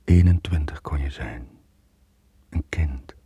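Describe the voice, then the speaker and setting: Deep, husky Flemish sailor, middle-aged. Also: male voice, dark tone, no room sound, lo-fi sound, full character voice. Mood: brooding, regretful. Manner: brooding, halting confession. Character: weary, guilt-ridden middle-aged sailor, haunted and reluctant. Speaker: actor and narrator in a radio drama. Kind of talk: 1980s Dutch-language radio drama acting.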